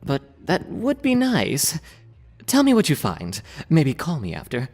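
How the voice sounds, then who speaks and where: A man's voice: a little high, wildly swooping pitch, a little slow, a little mellow, exaggerated voice. Man playing a prim, swooping-voiced shop assistant. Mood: hesitant, concerned. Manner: prim, hesitant, polite. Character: fussy, polite, reserved male shop assistant. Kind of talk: fan audio drama acting.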